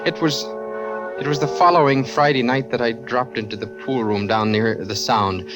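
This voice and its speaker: An actor playing a nervous, defensive young man in a halting radio suspense style, on a vintage recording with music. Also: mid-range voice, natural voice. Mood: brooding, uneasy. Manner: halting, stammering. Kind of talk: radio suspense acting, first-person narration.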